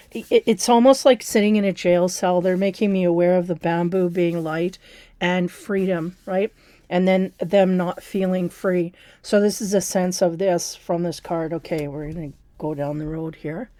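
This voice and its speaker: A woman with a raspy, hoarse voice giving a casual tarot card reading, rambling and restarting her sentences with many fillers. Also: lowish voice, dark tone, dry recording.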